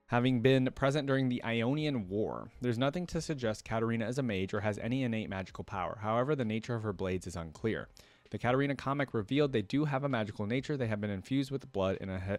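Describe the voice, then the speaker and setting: A man hosting a reaction video, reading aloud and commenting informally, thinking out loud and talking straight to viewers. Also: on the low side, no echo.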